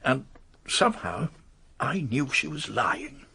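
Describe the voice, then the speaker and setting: Casting: nervous upper-class English knight, radio drama, husky mid-range voice, hesitant, lively intonation. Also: male voice, medium pitch, takes it slowly, heavily acted. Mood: uneasy confiding. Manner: hesitant, stammering, polite. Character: anxious titled English gentleman confessing under questioning. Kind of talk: British radio detective drama acting.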